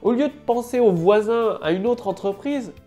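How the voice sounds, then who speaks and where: A woman's voice: on the high side, animated, moderate pace, warm tone, faint music behind. A woman with a head cold giving a casual marketing tutorial to camera, loose and full of fillers and restarts. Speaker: online marketing coach.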